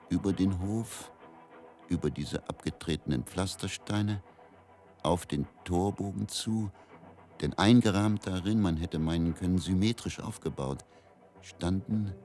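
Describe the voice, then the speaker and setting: An actor playing a haunted, resigned man who recounts his story in a measured, deliberate dramatic monologue with pauses. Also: low voice, dark tone, exaggerated voice. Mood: grave, measured. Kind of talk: slow first-person dramatic monologue.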